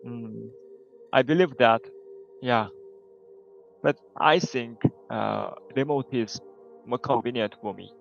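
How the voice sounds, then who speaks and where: Gravelly man on a dull video call. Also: mid-range voice, takes it slowly, pauses often, faint music behind. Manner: hesitant, short answers with long fillers. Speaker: job applicant. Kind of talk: video call job interview.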